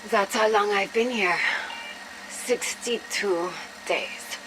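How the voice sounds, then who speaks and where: A woman's voice: medium pitch, takes it slowly, background noise, natural voice. An actress playing a defiant, tormented female prisoner in a video game, with a strained, emotionally raw delivery. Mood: weary, defiant. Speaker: captive character in a video game. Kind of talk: scripted cutscene dialogue.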